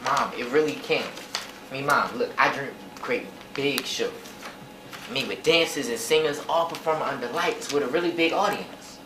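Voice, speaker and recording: A man's voice: medium pitch, normal speed, natural voice. A man playing a dreamy, pleading son in a stage play, speaking earnestly. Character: pleading, dreamy son who loves theatre. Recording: noisy stage recording.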